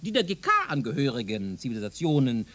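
A man's voice: medium pitch, big pitch swings, fast, darkish tone, close to an everyday voice. A man playing a zealous, self-assured professor of speculative science in a German radio drama, lecturing in a pedantic, insistent way. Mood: earnest, insistent.

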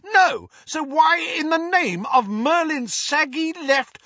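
A man's voice: extremely high pitch, animated, slow, sharp tone, dry recording, voice pushed up, exaggerated voice. A man voicing a sulky, hot-tempered teenage boy who whines indignantly. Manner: whining, indignant. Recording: dry, dull lo-fi audio.

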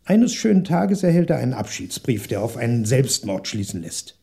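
An actor playing a polite, evasive French shopkeeper and ex-detective in a 1960s German radio crime drama, courteous but hesitant and stalling. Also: male voice, mid-range voice, sing-song, normal speed, gravelly, dark tone, husky, exaggerated voice. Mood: guarded.

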